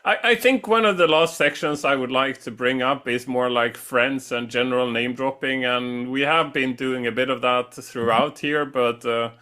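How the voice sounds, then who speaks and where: Halting, effusive male interview host. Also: medium pitch, sharp tone. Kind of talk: casual, unscripted interview hosting.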